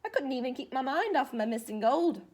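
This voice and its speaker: A woman's voice: high voice, animated, brisk, a little mellow. A woman voicing a grumpy, suspicious, boastful old male leprechaun telling his own storybook tale dramatically. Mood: mournful, indignant.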